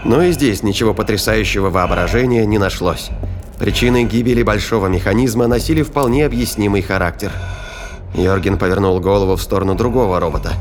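Low-pitched male audio drama narrator. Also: a little hiss or hum. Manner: measured, literary storytelling.

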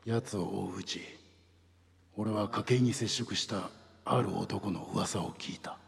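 An actor playing a grave older police section chief in a radio drama, gravelly and breathy, confiding in deliberate phrases. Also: male voice, lowish voice, full character voice. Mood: grave, secretive. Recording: long hall reverb.

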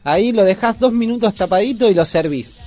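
Male Argentine host, high voice, informal cooking talk, colloquial and full of diminutives. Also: animated, fairly quick, darkish tone, faint music behind. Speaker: host of a youth culture radio cooking segment. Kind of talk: casual cooking chat.

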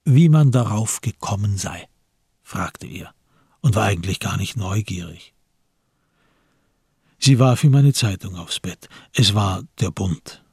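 A man with a very husky, breathy, lowish voice narrates a literary crime story for radio. The delivery is scripted storytelling, and the sound is close and dry. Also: expressive, measured pace, pauses often, a little mellow, no room sound.

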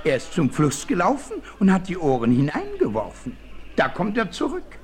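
An odd, shrewd scout in a vintage radio western. His high voice swoops wildly, full of excitable curiosity. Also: moderate pace, loud music behind the voice, heavily acted. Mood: excited. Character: eccentric, shrewd, inquisitive frontier scout, adult man. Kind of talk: 1960s German radio play acting.

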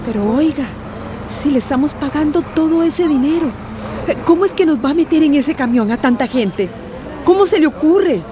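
Weary migrant woman, higher voice. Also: animated, moderate pace, noisy background. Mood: indignant. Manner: plain-spoken, colloquial storytelling. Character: weary, indignant working-class migrant woman telling her ordeal. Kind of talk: radio drama acting.

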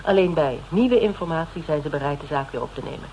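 An actor playing a persistent, polite private detective in a Dutch radio drama, speaking in a measured, explanatory, quietly probing way. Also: male voice, a little high, animated, natural voice. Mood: calm, explanatory. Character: persistent male private detective questioning a suspect, methodical and polite. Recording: noisy old radio drama recording.

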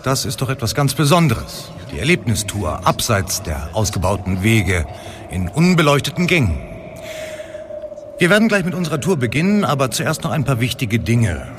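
An actor playing an earnest, eager tour guide in a radio drama, explaining things in a formal presenting manner. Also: male voice, medium pitch, very animated, moderate pace, noisy background, close to an everyday voice.

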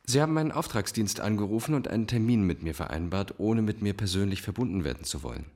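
An actor playing a controlled, manipulative psychiatrist, probing and commanding, in a radio thriller. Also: male voice, lowish voice, expressive, fast talker, a little mellow. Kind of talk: German radio thriller acting.

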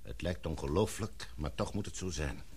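Older father figure, very low pitch, rough-edged voice, authoritative, gloomy, radio play. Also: moderate pace, a little mellow, heavily acted. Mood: grave, pessimistic. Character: paternal, gloomy, businesslike older English father and boss. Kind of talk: radio drama acting.

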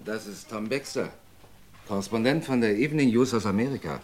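A man acts a rough townsman in a 1960s radio western. His voice is low, and he speaks in a gruff, familiar, low-key way, coaxing his listener along. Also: brisk, a little mellow, a little hiss or hum, lightly acted. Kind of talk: 1960s German western radio play acting.

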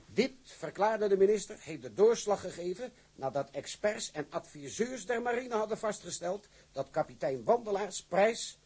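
An actor playing an excitable gentleman declaiming formal news with relish in a radio drama. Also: sits high, normal speed, few pauses, husky. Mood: triumphant. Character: excitable gentleman reading news aloud to sailors.

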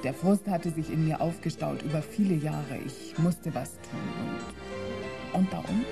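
A defiant, frustrated woman in a sci-fi radio play. Her voice is fairly low with a creaky edge. She speaks pointedly and confidingly, over clear music. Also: a little slow. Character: bitter, defiant, conspiratorial female theme-park employee who hates computers. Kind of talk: German radio play acting.

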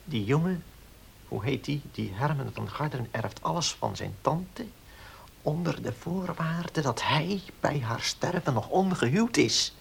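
A careful village notary in a radio drama. His voice is husky and gravelly, and his speech is formal, fussy and a little furtive. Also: on the high side, animated, measured pace, heavily acted. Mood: conspiratorial warning. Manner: hesitant, formal, conspiratorial. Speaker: actor in a radio play. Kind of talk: Dutch radio play acting.

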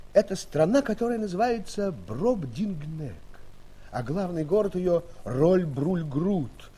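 A man playing an expressive fairy-tale narrator who addresses young friends directly and dramatically in a children's audio play. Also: on the high side, husky, full character voice.

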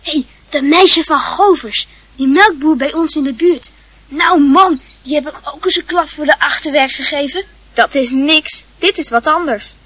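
Woman acting cocky high-voiced gossiping schoolboy. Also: moderate pace, fairly bright tone. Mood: boastful. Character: cocky gossiping schoolboy, eager to tell secrets.

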